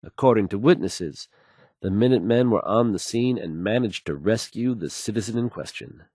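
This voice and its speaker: An actor playing a confident radio DJ who reads post-apocalyptic news bulletins in an assured, measured, folksy announcer manner. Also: male voice, on the low side, expressive, darkish tone, husky, no echo, studio quality. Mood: measured, serious. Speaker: radio DJ and newsreader.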